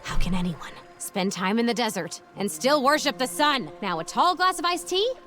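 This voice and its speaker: Upbeat young game heroine, high pitch, lively swings, breezy, cheeky, light music behind. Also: female voice, normal speed. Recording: game cutscene with music underneath.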